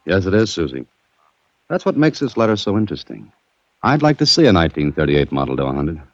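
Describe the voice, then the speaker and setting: A breezy adventurer hero of vintage radio drama, speaking in a deep, polished voice with wry curiosity. His delivery swings expressively through a dull, noisy old recording. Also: sing-song, quick pace, no echo, full character voice. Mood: amused, curious. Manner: wry, bantering, polished storytelling. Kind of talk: 1940s radio drama acting with first-person narration.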